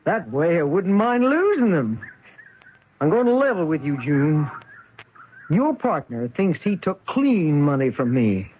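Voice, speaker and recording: An actress playing a shrewd, wealthy older widow in a theatrical, teasing radio drama style. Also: female voice, low-pitched, big pitch swings, unhurried. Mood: knowing, confident. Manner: theatrical, teasing, folksy exclamations. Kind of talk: 1970s radio drama acting. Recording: tinny, noisy old radio recording.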